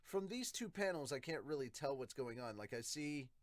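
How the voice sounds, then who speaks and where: Guy doing a casual review podcast, blunt and sweary, animated, really dry close sound. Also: mid-range voice, normal speed, dry recording. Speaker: host of a manga review podcast. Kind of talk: casual reaction and review commentary.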